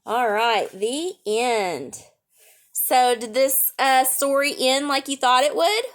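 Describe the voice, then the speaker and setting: Woman, higher-pitched voice, very unhurried pace, storytime reading, simple explanations, questions to young listeners. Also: fairly bright tone. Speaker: storytime reader and teacher. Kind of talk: reading a picture book aloud to young children with chatty asides.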